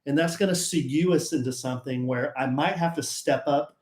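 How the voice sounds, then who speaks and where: A man's voice: a little high, brisk, a little mellow, echoey room. A middle-aged man co-hosting a podcast, chatting easily with his wife and steering the talk back on topic. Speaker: podcast co-host. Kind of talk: casual chat with spouse.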